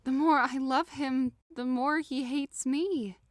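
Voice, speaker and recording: Actress as a high-voiced, lovesick, envious young woman. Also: fairly even intonation, takes it slowly, no echo, studio quality. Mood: bitter lament. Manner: dramatic, self-pitying lamenting. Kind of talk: modernized Shakespeare audio drama acting.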